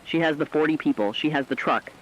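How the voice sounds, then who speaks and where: A man narrating scripted human stories for a radio broadcast in a solemn, plain-spoken, deliberate manner. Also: fairly high pitch, fairly bright tone, highs cut off.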